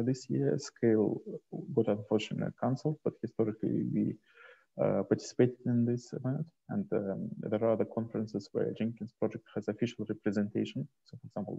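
A man presenting slides informally on a video call, speaking in a hesitant, halting way into a headset. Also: on the low side, gravelly, warm tone, slightly rough. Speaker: host and presenter of an online community onboarding session. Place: small indoor room, video call. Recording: dull, muffled headset call audio.

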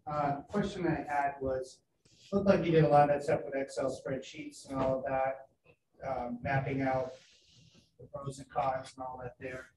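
A man on a school board asking halting, deliberate questions in a meeting. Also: medium pitch, steady tone, a little mellow. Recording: roomy, distant mic with faint noise.

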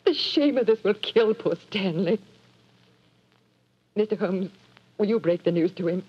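An actress playing a distraught, pleading officer's wife in a 1940s radio drama. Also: female voice, medium pitch, measured pace, husky. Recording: dull lo-fi radio recording.

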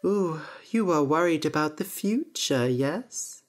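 A woman's voice: low voice, expressive, takes it slowly. Sly old witch, as a woman puts her on. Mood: sly, coaxing. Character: strange old female witch, sly and knowing.

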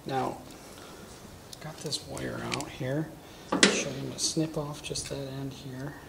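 Casual shop demonstrator, thirties, gravelly voice, lapel mic, noisy workshop background. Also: male voice, mid-range voice, level tone, measured pace. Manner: casual, talks while working with his hands. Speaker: welding equipment demonstrator. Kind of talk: hands-on product demonstration. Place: welding shop corner with curtain backdrop.

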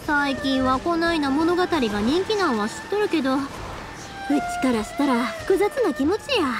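An actress playing a cheerful, big-hearted young fireworks maker in a Japanese game, speaking informally and earnestly with a Kansai accent. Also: female voice, sits high, lively intonation, measured pace, music in the background. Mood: wistful, explaining. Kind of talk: Japanese anime-style game voice acting.

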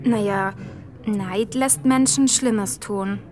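A woman playing a sensible, thoughtful young girl in a children's audio drama, reasoning in a measured manner. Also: medium pitch, a little mellow, lightly acted.

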